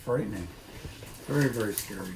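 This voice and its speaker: A man reading a children's book aloud expressively and haltingly, with dramatic pauses and informal asides. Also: lowish voice. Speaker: storybook reader. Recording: echoey room, noisy.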